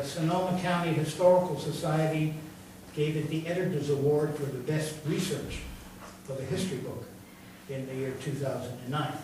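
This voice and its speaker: Guy hosting an event, reads off awards slowly w/ some uhs, faint hiss, lots of echo. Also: on the high side, a little mellow. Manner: reads out awards in a measured way, with fillers. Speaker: event host introducing the speaker. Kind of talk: formal introduction. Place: large echoey room from far off. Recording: echoey room, distant mic, faint noise.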